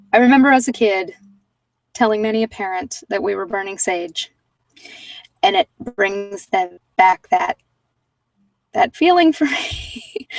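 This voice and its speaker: A soft-spoken woman with a mid-pitched voice hosts a guided ASMR meditation in a casual, rambling way. She pauses often and sometimes slips toward a whisper. The sound is dry, with her voice set a little back from the microphone. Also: dry recording, distant mic, low-quality recording. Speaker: host of guided meditation sessions.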